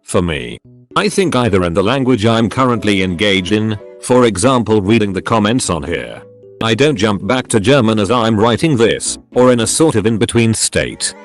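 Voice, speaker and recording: A man reading online forum posts aloud, one after another, in a matter-of-fact way with short pauses between them. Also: fairly low pitch.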